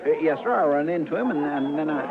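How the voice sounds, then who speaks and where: An actor playing a loyal, simple deputy in a radio western, deferential, earnest and hesitant, with a rural American drawl. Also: male voice, a little high, expressive, quick pace, over a noisy crowd. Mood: flustered. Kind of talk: 1950s radio western acting. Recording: old radio recording, narrow band, murmur behind.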